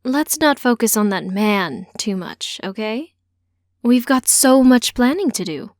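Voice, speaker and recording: A woman voicing a caring, gentle mother of a grown child in an audiobook story. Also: on the high side, animated, measured pace, no room sound, clean studio sound. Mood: cautious.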